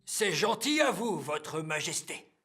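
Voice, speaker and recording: A man voicing a deferential, earnest elf in a French cartoon, with a raspy, forceful voice, eager to please. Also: high-pitched, big pitch swings, unhurried, over loud music, full character voice. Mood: sheepish.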